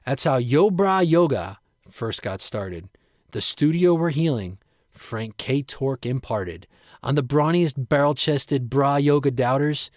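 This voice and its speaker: A man's voice: medium pitch, animated, a little slow, a little mellow, no echo, studio quality. A man reading his own rhyming narrative poem as a storyteller. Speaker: poet reading own work.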